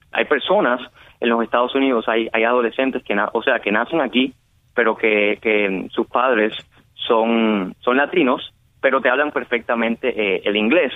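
A man's voice: medium pitch, no echo. A Cuban man with a Cuban Spanish accent, a guest actor chatting casually in an interview, laughing often and rambling with fillers. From Cuba. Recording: muffled lo-fi line.

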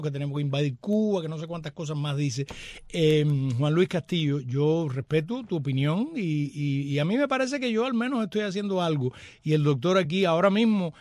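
A man hosting a live radio show, reading listener messages in a lively, joking way. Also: on the high side, no room sound.

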